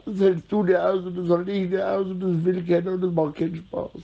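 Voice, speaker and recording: A man's voice: high-pitched, little pitch movement, fairly quick, creaky, background noise. A middle-aged man, delivering a rambling, repetitive informal monologue in slangy language. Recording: dull lo-fi recording.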